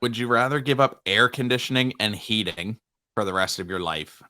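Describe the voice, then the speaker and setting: A young man co-hosting a casual sports podcast, relaxed and playfully teasing his co-host. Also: on the low side, moderate pace, no echo, clean studio sound.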